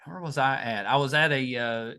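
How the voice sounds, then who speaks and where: A man's voice: medium pitch, normal speed, pushed and bright, clear, resonant tone. A man hosting a podcast, chatting easily with his guest and agreeing often. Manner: friendly, agreeable interviewer with many "yeah" replies. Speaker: podcast host. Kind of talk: casual interview chat.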